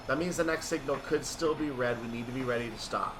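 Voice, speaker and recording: A man's voice: mid-range voice, fast, noisy background. A man giving explanatory, methodical gameplay commentary, thinking aloud as he plays a train simulator. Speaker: video game streamer playing a train simulator.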